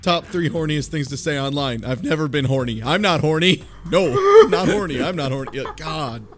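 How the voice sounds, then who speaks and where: A man's voice: a little high, sing-song, moderate pace, vocal fry. A man co-hosting a casual chat, joking and riffing with a sarcastic streak. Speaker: podcast co-host. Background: background music.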